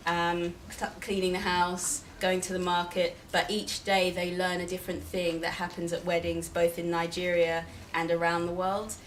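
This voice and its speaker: A woman author talks in a chatty, friendly way at a live event, explaining at length and filling pauses with "um". Her voice sits low and stays fairly flat. Faint background noise runs under her. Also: rough recording. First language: Igbo. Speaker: children's book author. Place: event venue with an audience.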